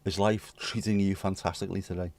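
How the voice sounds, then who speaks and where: A hoarse man with a British accent, chatting casually with viewers on his livestream and swearing bluntly. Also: deep voice, flat delivery, brisk, a little mellow. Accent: British English. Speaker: livestream host. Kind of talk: casual chat reading viewer comments.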